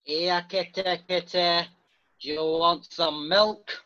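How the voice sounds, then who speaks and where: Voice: medium pitch, fairly even intonation, takes it slowly, sharp tone, dull audio. A performer playing a mocking vile creature, jeering and teasing in a screenplay read-through.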